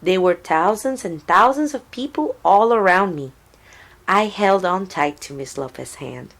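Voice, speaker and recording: Female storybook narrator, lower register, slow and deliberate, expressive read-aloud delivery. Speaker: storybook reader.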